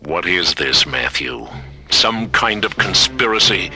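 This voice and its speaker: An actor playing a stubborn, combative official, arguing insistently in a science fiction radio drama. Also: male voice, low-pitched, animated, slow pace, soft background music, full character voice. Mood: insistent, suspicious. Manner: insistent, argumentative, forceful. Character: stubborn, combative male official hungry for weapons.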